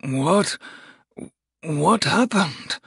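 A man's voice: high-pitched, animated, slow pace, creaky, a bit bright, no echo, clean studio sound, exaggerated voice. A man voicing a pompous, naive young princely nobleman in an audiobook, boastful and haughty, prone to faltering. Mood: shaken, confused.

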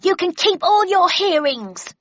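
A woman voicing an enraged young man in an audiobook, shouting with indignation. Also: very high-pitched, big pitch swings, unhurried, no room sound, muffled recording, voice pushed up, full character voice. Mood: furious. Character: enraged young man defendant storming out of court.

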